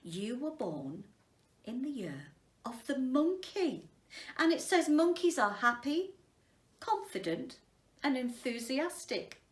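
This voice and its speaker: A woman with a fairly high voice reads to children at a slow pace. She speaks to her young listeners directly, as at a school storytime. The recording is roomy, picked up some way from the mic. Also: very animated. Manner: unhurried, addressing children directly. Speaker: headteacher reading to children. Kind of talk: children's storytime reading and explaining. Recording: roomy echo, distant mic.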